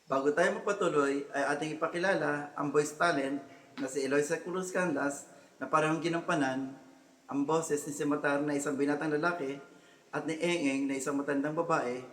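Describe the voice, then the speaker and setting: A man's voice: fairly high pitch, fairly even intonation, moderate pace, a bit bright, in a large hall. A man with a Filipino accent giving scripted educational narration as the host.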